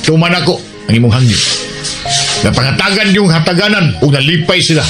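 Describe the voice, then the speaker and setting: An actor with a Cebuano accent playing a gruff street-gang boss softened by pity, emphatic and exclamatory in a radio drama. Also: male voice, fairly high pitch, animated, fairly quick, lightly acted. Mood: reflective, remorseful. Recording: radio drama with music underneath.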